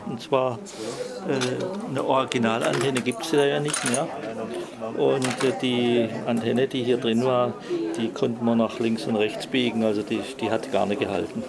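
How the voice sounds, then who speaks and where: A man haltingly explaining a repair he has just done. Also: medium pitch, steady tone, vocal fry, over a noisy crowd. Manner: halting, many fillers. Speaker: volunteer repairer. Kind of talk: casual explanation.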